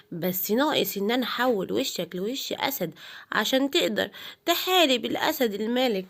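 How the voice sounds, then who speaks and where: Woman as smug, scheming Egyptian cartoon magician. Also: high voice. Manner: self-satisfied. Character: scheming magician hungry for the throne. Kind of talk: animated children's story.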